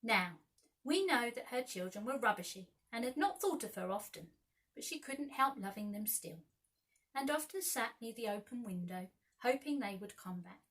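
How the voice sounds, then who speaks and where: Bright-voiced woman reading a children's story. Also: on the high side, animated, moderate pace. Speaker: storyteller, school staff reader. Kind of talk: expressive children's story narration.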